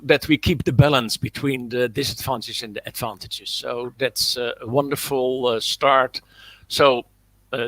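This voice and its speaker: A man hosts the webinar, pausing often and leaning on fillers. His voice sits at a middle pitch, and the sound is dry, over a video call. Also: a bit bright, no echo, rough recording. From the Netherlands. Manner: hesitant, many fillers. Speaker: webinar host and coach. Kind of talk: hosting a webinar.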